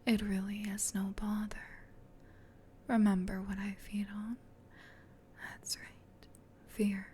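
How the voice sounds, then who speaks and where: A woman voicing a gentle, protective female demon in a soft, soothing whisper for an ASMR comfort roleplay. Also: mid-range voice, slight background noise, lightly acted. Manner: soothing, tender, reassuring, unhurried.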